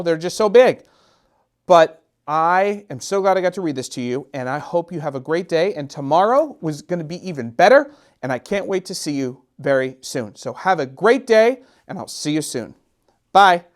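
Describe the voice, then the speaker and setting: A middle-aged man reads a picture book to children expressively, drawing out repeated phrases with gentle, dramatic emphasis. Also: on the high side. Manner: gentle, drawn-out, sing-song repetitions with dramatic emphasis. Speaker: storytime host and children's author.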